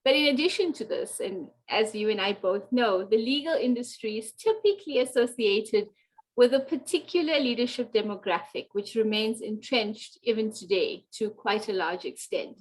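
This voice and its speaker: A woman's voice: on the high side, normal speed, rough recording. A woman from South Africa moderating a panel discussion, with a chatty, complimentary way of talking.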